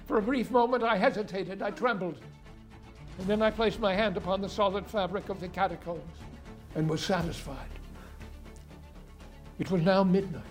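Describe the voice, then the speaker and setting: Guy doing a gothic horror killer telling his tale, high voice, formal and theatrical, gloating, music behind. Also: close to an everyday voice. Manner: deliberate, theatrical, formal narration with loud cries. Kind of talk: dramatic reading of a gothic horror story.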